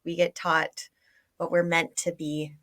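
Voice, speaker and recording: A woman hosts a relaxed interview, responding agreeably. Her voice is somewhat low for a woman, and her phrasing is loose and casual, with many "like"s and "you know"s. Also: a little slow. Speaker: interviewer host.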